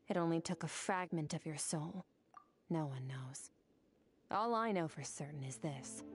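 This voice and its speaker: A woman's voice: sits low, slightly rough. A woman voicing a cold, aloof and mysterious female warrior in a video game, who speaks in a curt, commanding way. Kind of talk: video game cutscene voice acting.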